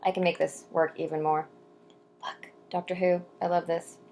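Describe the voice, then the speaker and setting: A young adult woman chatting to camera in a casual vlog, rambling with frequent ums and asides, and reading poetry slowly and deliberately. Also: lowish voice, flat delivery, a little mellow. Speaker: vlogger answering viewer questions.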